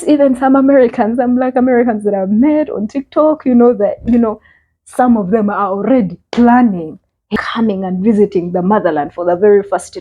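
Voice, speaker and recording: A woman's voice: on the high side, dark tone, slightly rough, low-quality recording. A woman from Uganda with a Ugandan accent, delivering impassioned opinion commentary straight to camera, repeating phrases for emphasis. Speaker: vlogger.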